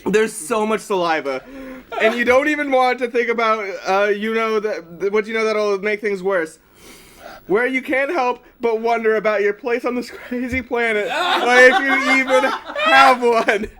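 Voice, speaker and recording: High-pitched man struggling through a reading. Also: measured pace, sharp tone. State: mouth burning from very hot sauce. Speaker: streamer reading fan fiction aloud. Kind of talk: fan fiction reading mixed with casual banter. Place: group livestream with others reacting.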